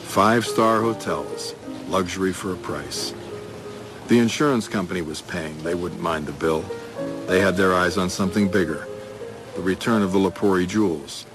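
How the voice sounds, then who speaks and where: An actor playing a seasoned, world-weary police detective in a crime audio drama, dry, clipped and matter-of-fact. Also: male voice, low-pitched, lightly acted. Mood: world-weary narrating. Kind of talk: hard-boiled crime radio drama with first-person narration. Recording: music behind the voice.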